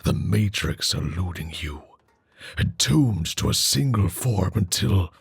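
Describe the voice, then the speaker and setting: A man voicing a grave, menacing robot schemer in an animated series, with a husky, gravelly voice and a formal, ominous manner. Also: deep voice, slow, dry recording, exaggerated voice. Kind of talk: dramatic animated-series villain acting.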